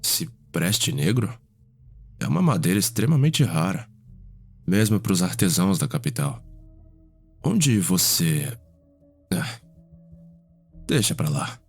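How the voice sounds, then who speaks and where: A gentle, refined vampire nobleman played by a man with a low voice. He talks in a measured, formal way in an ASMR roleplay, over faint music. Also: vocal fry, heavily acted. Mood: delighted. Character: genial, refined elder vampire brother, wistful nobleman. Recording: music behind the voice.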